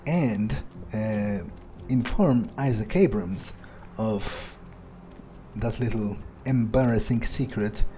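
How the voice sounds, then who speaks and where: Male gamer in character as a scheming vampire: slow, dark-toned, deliberate thinking aloud. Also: medium pitch. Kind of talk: improvised in-character game commentary. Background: faint game music.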